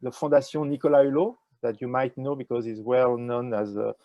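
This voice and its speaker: An older man with a French accent, answering interview questions in a halting way with many fillers, speaking over a video call with a wired earphone mic. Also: medium pitch, steady tone, no room sound, lo-fi sound. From France. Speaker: ecology professor, interview guest. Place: indoors with a virtual nature backdrop.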